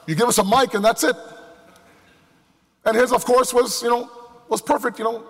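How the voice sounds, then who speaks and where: High-voiced male preacher in a reverberant hall. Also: steady tone, moderate pace, a bit bright. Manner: emphatic, with rhetorical questions and repetition. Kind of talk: sermon to a live congregation. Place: large indoor hall before a crowd. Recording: echoey hall with long reverb.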